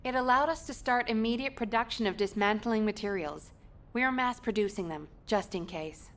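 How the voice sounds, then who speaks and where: Woman playing a high-voiced, reassuring researcher. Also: moderate pace, natural voice. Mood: reassuring, pleased. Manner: reassuring, precise. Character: reassuring female technical researcher, diligent, polite. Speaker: actor voicing a game character. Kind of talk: scripted game dialogue.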